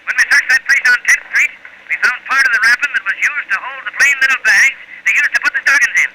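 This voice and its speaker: An actor playing a brisk, folksy, plainspoken police sergeant in 1940s radio style, talking in slangy cop talk. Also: male voice, extremely high pitch. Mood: eager. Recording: very noisy old radio recording.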